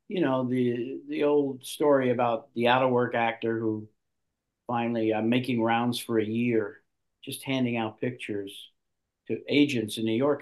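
An older man, a guest actor, telling long stories in an interview with lively restarts. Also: medium pitch, poor audio. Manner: animated storyteller, restarts a lot. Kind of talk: storytelling in an interview.

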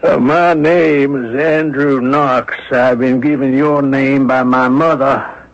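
A man acting a polite gentleman in a radio play, formal and coolly inquisitive. His voice is mid-pitched, on a dull old radio recording. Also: fairly even intonation, slow, natural voice. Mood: courteous. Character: courteous, coolly probing gentleman heir.

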